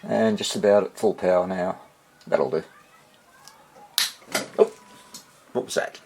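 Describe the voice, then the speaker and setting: A man giving a casual hands-on repair demonstration, muttering to himself and pausing between short phrases as he thinks aloud. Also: low voice, vocal fry, warm tone, slightly rough. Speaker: hobbyist radio repairer presenting his workshop video.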